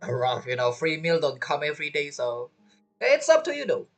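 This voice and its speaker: Rambling game streamer, lively pitch. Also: normal speed, soft background music. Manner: rambling, thinking aloud. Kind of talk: casual live gameplay commentary.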